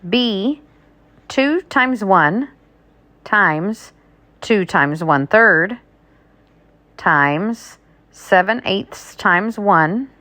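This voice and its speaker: A woman with a mid-range voice reads test questions aloud like a teacher. Her pace is extremely slow and deliberate, with each item set out separately. The sound is dry, with little room around it. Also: medium pitch, bright, forceful tone, no room sound.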